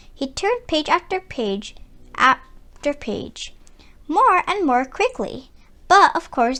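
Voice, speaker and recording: High-voiced, animated woman reading a storybook. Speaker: children's story reader. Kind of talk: read-aloud storybook narration.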